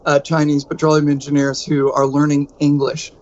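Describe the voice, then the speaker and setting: A man's voice: fairly high pitch, monotone, normal speed, a little mellow, lo-fi sound, slight background noise. A middle-aged man with a Texan accent, co-hosting a podcast in a casual, rambling way that jokes at his own expense. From United States. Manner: rambling, self-deprecating, restarts often. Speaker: podcast co-host.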